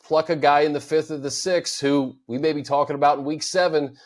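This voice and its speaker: A man's voice: fairly high pitch, fairly even intonation, brisk. A male host of a casual football podcast, easygoing and agreeable, steering the conversation and wrapping up. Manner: easygoing, agreeable, wraps up the show. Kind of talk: casual sports talk podcast.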